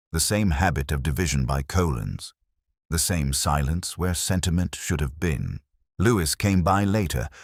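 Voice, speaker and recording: Man, extremely deep pitch, dry studio sound, brooding, measured, literary detective-story narration. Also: breathy edge, dry recording. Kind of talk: scripted first-person detective audio drama narration.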